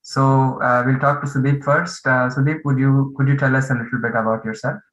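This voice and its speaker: A man of average pitch leads an online meditation session over a video call. He speaks haltingly, with frequent ums and uhs. His voice has a roomy echo around it. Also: little pitch movement. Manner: hesitant, many fillers, warm welcoming tone. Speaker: meditation course host.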